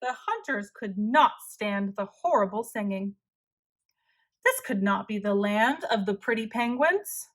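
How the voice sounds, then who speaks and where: A woman reading a children's story aloud as narrator, expressive and deliberate. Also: a little high.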